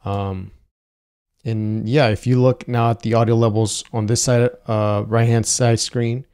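A young man giving a casual software tutorial, talking through each step with frequent "uh" and "um" fillers, on a lapel mic. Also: mid-range voice, level tone, unhurried, smooth. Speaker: video editing tutor. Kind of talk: screen-recorded software tutorial. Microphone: wireless lapel mic.

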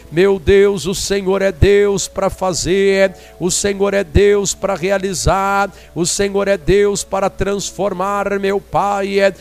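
Slow, high-voiced Brazilian preacher praying. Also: male voice, clean tone. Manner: pleading, repetitive, punctuated with short interjections. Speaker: evangelical preacher leading a prayer. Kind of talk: fervent intercessory prayer.

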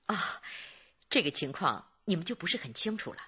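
Female company boss: brisk, bright, very husky, radio drama, slightly dull sound. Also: fairly low pitch, very animated, heavily acted. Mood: businesslike. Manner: brisk, official, cooperative. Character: businesslike female general manager of cosmetics company. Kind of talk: Chinese radio drama acting. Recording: muffled band-limited radio drama audio.

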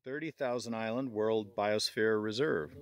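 A man's voice: fairly low pitch, normal speed. Cheerful man narrating an outdoor hike. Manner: enthusiastic, exclaims about the scenery, laughs often. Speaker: vlogger filming a hike. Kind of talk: casual vlog narration. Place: outdoors on a wooded island. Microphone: handheld camera.

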